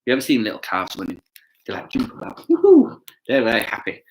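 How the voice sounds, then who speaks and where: A man reading a children's story aloud with chatty asides, playful and expressive, often putting questions to his listeners. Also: medium pitch, fast, creaky, a little hiss or hum, low-quality recording. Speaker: children's bedtime story reader.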